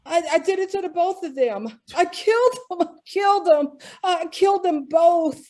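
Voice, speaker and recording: A woman playing a guilt-crazed male murderer in a radio drama, halting and pleading as he repeats himself in his breakdown. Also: very high voice, lively intonation, darkish tone. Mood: frantic confession. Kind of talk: old-time radio drama acting.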